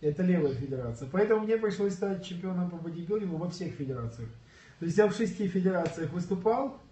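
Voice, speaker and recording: A man's voice: high voice, expressive, quick pace, mellow. Coughing man telling his story in an echoey room. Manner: earnest, storytelling, clears throat and coughs. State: slightly ill, coughing. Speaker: bodybuilding coach, guest. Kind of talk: casual livestream chat. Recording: echoey room, far from mic, dull.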